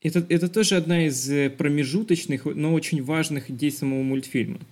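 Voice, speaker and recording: A man's voice: a little high, brisk, darkish tone. Reflective man discussing film on a podcast. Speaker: podcast host.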